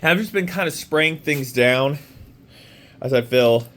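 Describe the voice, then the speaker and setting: Guy with a sore throat in his garage, kinda rambly, voice not too high not too low. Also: a little slow, fairly bright tone. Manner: rambling, with many fillers and restarts. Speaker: motorcycle restoration vlogger. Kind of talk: casual walkaround vlog. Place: home garage.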